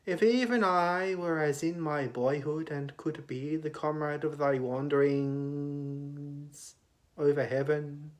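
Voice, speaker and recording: A man giving a dramatic recitation of poetry in a declamatory manner, with long pauses between phrases. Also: a little high, fairly even intonation. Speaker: poetry reader.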